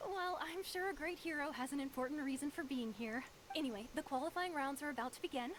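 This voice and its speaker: Woman playing a high-voiced, flustered young registration clerk. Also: fast, a little hiss or hum, lightly acted. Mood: polite. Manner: hesitant, polite, stammering. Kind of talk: video game voice acting.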